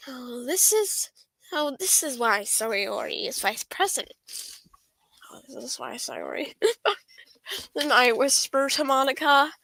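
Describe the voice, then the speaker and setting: A woman game streamer reading a visual novel aloud, halting and giggly, with casual asides. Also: high voice, animated, vocal fry, bright, forceful tone, dry recording.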